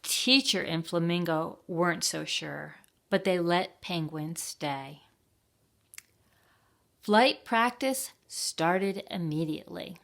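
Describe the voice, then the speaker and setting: Slow-talking woman reading a children's storybook. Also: on the low side, gravelly. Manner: expressive, pauses to ask the children questions. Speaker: library storytime reader. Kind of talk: reading a picture book aloud to young children.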